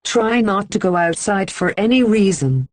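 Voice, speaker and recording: A woman reading a scripted emergency broadcast announcement in an even, formal manner with slow, deliberate pauses. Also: medium pitch, dry recording.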